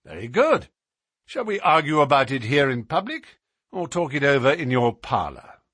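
A man's voice: mid-range voice, sing-song, normal speed, fairly bright tone, husky, dry recording. A man voicing a self-assured, courteous but commanding detective in a narrated mystery story. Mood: suavely polite.

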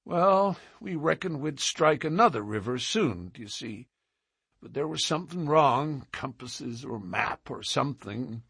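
A man's voice: mid-range voice, animated, a little slow, no room sound, clean studio sound, full character voice. A man doing a parched old frontiersman. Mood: tender. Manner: rough, drawling, gentle.

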